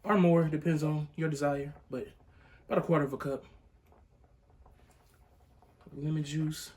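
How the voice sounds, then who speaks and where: A man's voice: fairly high pitch, steady tone, moderate pace, darkish tone. Laid-back young man cooking and chatting. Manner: laid-back, chatty, addresses viewers as y'all. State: morning voice, just woke up. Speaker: cooking channel host. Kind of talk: casual recipe demonstration. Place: living room with a pot on a hot plate.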